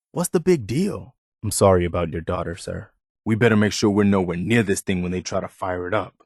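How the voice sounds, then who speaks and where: A principled young American militiaman serves as a companion in a post-apocalyptic game. His voice sits on the low side and is measured and sincere, with plain-spoken phrasing. The recording is very dry, with no room around it. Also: dry recording. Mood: disapproving, then sympathetic, thoughtful. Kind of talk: video game companion dialogue.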